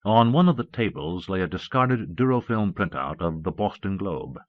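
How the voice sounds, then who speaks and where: Guy narrating a novel, lowish voice, muffled old tape sound, no room echo. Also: expressive, normal speed. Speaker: audiobook narrator. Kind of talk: scripted first-person novel narration. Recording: muffled old cassette audiobook.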